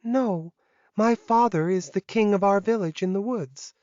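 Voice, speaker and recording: A woman's voice: medium pitch, a little slow, dark tone, no echo, highs cut off, lightly acted. A woman voicing a mischievous, idealistic little peasant girl in a fantasy audiobook, earnest and proud. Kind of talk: audiobook character dialogue.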